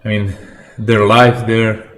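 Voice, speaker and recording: Slow, halting Algerian man on a stream. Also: lowish voice, level tone, fairly bright tone. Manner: halting non-native English, searching for words. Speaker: livestream host. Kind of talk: casual livestream chat with viewers.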